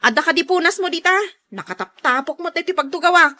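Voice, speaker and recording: A woman's voice: very high-pitched, lively intonation, fast, sharp tone, full character voice. A woman with an Ilocano accent playing a bossy, complaining, demanding wife in a radio drama. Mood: fussy, put out. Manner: bossy, exclamatory, grumbling. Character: sharp-tongued, demanding wife, fussy and complaining about neighbours.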